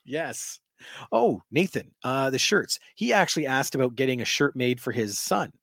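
A man's voice: mid-range voice, big pitch swings, normal speed, vocal fry, a bit bright, no echo. Upbeat guy hosting a podcast, voice swings up and down, laughs a lot, dry close sound. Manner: upbeat, laughs easily, reads listener messages. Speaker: podcast host. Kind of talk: casual podcast chat.

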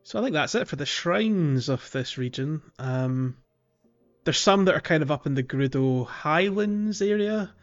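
A man's voice: fairly high pitch, animated, normal speed, lo-fi sound, soft background music. A man hosting a casual video game podcast, explaining things in a hesitant, rambling way. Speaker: podcast host.

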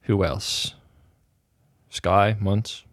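A man's voice: on the low side, steady tone, extremely slow, no room sound, studio quality. A man playing a bulky, half-mad, haunted uncle in an improvised audio drama, muttering paranoid, clipped and insistent lines. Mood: agitated, paranoid.

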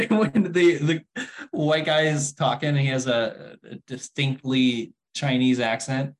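A young man in a relaxed podcast chat, speaking at an ordinary, middling pitch. He is blunt and opinionated, with a wry, joking edge, and his dry humor keeps things light. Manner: opinionated, wry, quick to laugh. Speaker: podcast co-host.

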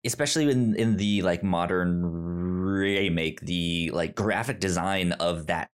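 A man's voice: low-pitched, a little slow, clean tone. A man co-hosting a movie podcast, chatting casually with lots of restarts and laughs. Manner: relaxed, rambling, frequent restarts and laughs. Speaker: podcast co-host. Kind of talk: casual chat.

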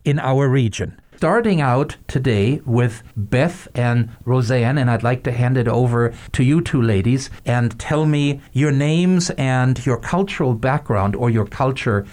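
A male podcast host with a voice of middling pitch. He talks in a thoughtful way, pausing and restarting as he searches for the right words. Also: darkish tone. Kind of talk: podcast interview.

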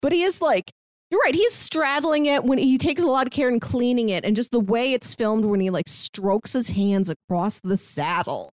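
A woman co-hosting a review podcast, chatting casually and in an animated way about a film. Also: a little high, normal speed, no room sound, clean studio sound.

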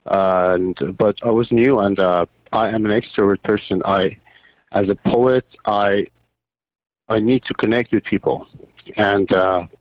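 A man who grew up in Iran, a surgeon and poet, answering interview questions haltingly with many fillers and restarts. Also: on the low side, steady tone, no room sound. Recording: tinny phone line.

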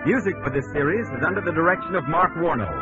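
A man announcing credits and sponsor notices on a 1940s radio broadcast with crisp, emphatic delivery. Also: a little high, lively intonation, quick pace, lo-fi sound, over loud music.

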